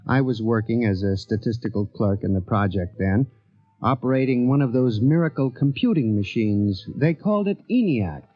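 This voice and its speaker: A man's voice: medium pitch, lively intonation, normal speed, no echo, close to an everyday voice. An actor playing a meek, nervous clerk in 1950s radio drama, hesitant and deferential as he tells his tale. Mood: grave, reflective. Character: meek, nervous statistical clerk recounting a nightmare. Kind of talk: 1950s radio acting, dramatic first-person narration. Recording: muffled vintage 1950s radio, music behind.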